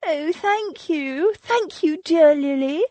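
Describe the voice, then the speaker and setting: A woman's voice: high voice, sing-song, a little slow, darkish tone, dry recording, pitched above the performer's own voice, exaggerated voice. A woman voicing an anxious, effusive young society wife who pleads and gushes. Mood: pleading, gushing.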